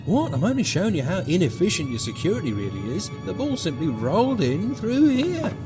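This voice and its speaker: A man's voice: sits high, huge pitch swings, fairly quick, music in the background. A man playing a cocky, bratty young prisoner in a comedy space audio drama, smug and flippant as he rambles.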